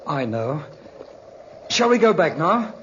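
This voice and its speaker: A man's voice: on the high side, sing-song, slow pace, a bit bright, heavily acted. An actor in a 1950s radio drama playing a curt, detached married man with menace toward his lover. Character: cold, married male lover turning menacing. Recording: muffled, noisy vintage radio recording.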